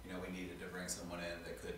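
A middle-aged man, a restaurant co-owner, answering interview questions in a halting way with many fillers. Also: low-pitched, completely flat delivery, recorded from a distance, background noise. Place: small studio on a couch. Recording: echoey room from off mic.

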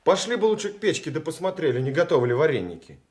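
Animated, brash village joker. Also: male voice, on the high side, quick pace. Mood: teasing, impatient. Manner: teasing, animated. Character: brash, joking, teasing village man. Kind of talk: radio drama acting.

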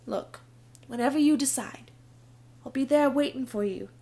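Woman doing a young girl posing as newsboy, high-pitched, pleading, fan audio drama. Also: moderate pace, dark tone. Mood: tender. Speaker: Brenna, called Trix.

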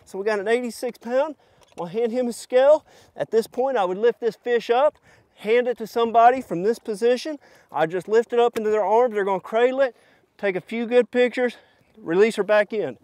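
A man's voice: extremely high pitch, brisk, a little mellow, no echo. A man in his thirties giving hands-on instructions as a fishing guide, out on a boat. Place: outdoors on a boat on a lake. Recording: wind and boat noise outdoors.

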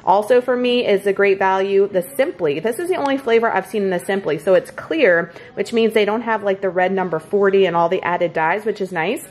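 A woman's voice: medium pitch, brisk, few pauses, a little mellow. A woman chatting casually through a grocery haul in her kitchen, upbeat and talking straight to viewers. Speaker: vlogger showing a grocery haul. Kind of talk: casual chat. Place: home kitchen, indoors.

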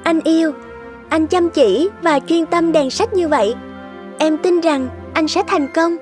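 A woman voicing a gentle, courteous young lady of good family in a cartoon fairy tale. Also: very high-pitched, measured pace. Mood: tender. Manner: courteous, sweet. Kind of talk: animated fairy tale voice acting. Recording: music under the voice.

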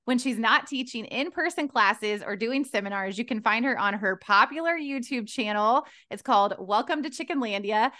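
Upbeat woman podcast host, high, bright, sharp voice, enthusiastic. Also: expressive, normal speed, few pauses. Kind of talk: scripted podcast introduction. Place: home room. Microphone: headset on video call.

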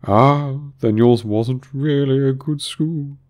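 A man playing a slow, mournful turtle. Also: on the low side, darkish tone. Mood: relieved. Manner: slow, drawn-out, sighing delivery. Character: mournful, sighing, touchy old Mock Turtle. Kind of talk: storybook character reading.

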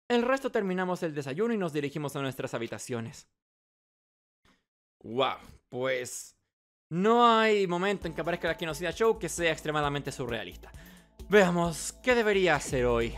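Animated male game streamer. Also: a little high. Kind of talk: video game playthrough with read-aloud narration and casual commentary. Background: game music.

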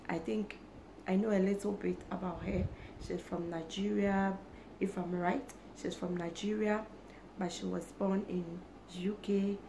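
A hoarse woman, chatting slowly to camera for her channel and often repeating herself. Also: mid-range voice, noisy background. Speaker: YouTuber. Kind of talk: casual talk to camera. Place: small indoor room.

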